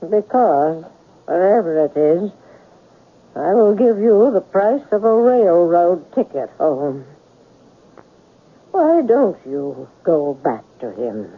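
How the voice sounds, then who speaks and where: A tinny vintage radio recording. a female shopkeeper, husky and slow, blunt and insistent yet kindly, in 1970s radio drama style. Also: on the low side, big pitch swings, exaggerated voice. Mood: blunt, urging.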